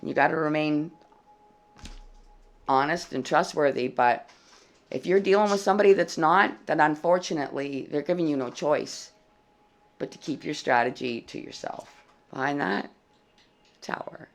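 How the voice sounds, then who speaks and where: A tarot reader talks through a spread in a casual, blunt way and swears now and then. The voice sits at a middling pitch and is dry and froggy, with a heavy gravelly fry. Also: normal speed, long pauses. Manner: blunt and plain-spoken, swears freely. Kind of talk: casual tarot reading to camera.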